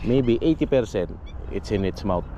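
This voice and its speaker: A man's voice: fairly low pitch, lively intonation, normal speed, dull audio. A Filipino man with a Filipino accent, talking casually while fishing from a boat, coaxing the fish and mixing English with Filipino. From Philippines. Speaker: fishing vlogger. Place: outdoors on a boat on open water. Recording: outdoor boat and water noise. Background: boat and water ambience.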